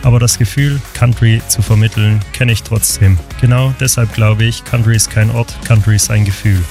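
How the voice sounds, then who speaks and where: A man from southern Germany hosts a country radio show, talking between songs in an earnest and personal way. His voice sits a little low, and music plays clearly under him the whole time. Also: steady tone, moderate pace. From Hohenlohe, Baden-Württemberg, Germany. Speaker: radio host. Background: music bed.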